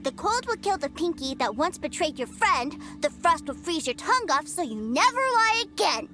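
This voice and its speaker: A woman's voice: very high-pitched, sing-song, normal speed, exaggerated voice. A woman voicing a cheerful, stubborn little boy in a game cutscene, talking in an eager, sing-song way. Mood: gleeful. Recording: game cutscene with loud music. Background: game music.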